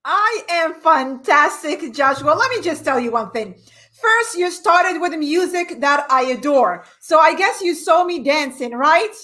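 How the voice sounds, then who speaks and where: Enthusiastic woman from Morocco. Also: sits high. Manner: energetic, enthusiastic, with thoughtful pauses. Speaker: guest, business owner. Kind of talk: radio interview. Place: radio studio.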